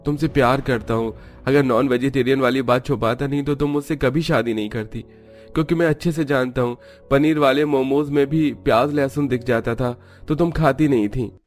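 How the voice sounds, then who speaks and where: A man's voice: medium pitch, fairly even intonation, brisk, soft background music, pitched above the performer's own voice, lightly acted. Man acting nervous young cartoon husband, anxious. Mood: pleading. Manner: stammers when flustered, pleading.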